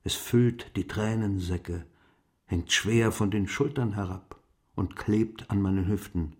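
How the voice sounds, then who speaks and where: A man playing a melancholy aged French explorer, brooding haltingly over his memories in a radio play monologue. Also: lowish voice. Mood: weary, brooding.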